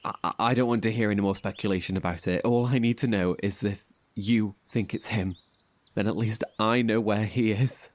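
An actor in an audio horror drama playing an anxious, devoted brother, halting and stammering with emotion. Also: male voice, on the low side, steady tone, dry recording, lightly acted. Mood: pleading, agitated. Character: anxious, devoted, grief-stricken brother surviving apart.

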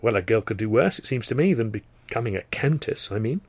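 A man's voice: lowish voice, animated, fairly quick, slightly rough, no echo. A man acts out a young woman dancer in an audiobook. She is flighty and teasing, rambling breezily with little tag questions. The sound is close and dry. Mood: wry. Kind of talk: acted audiobook dialogue.

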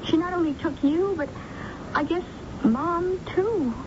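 An actress playing an earnest, anxious young daughter, halting and emotional, in a 1970s radio drama. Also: female voice, very high voice. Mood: uneasy, hesitant. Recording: muffled, noisy 1970s radio broadcast.

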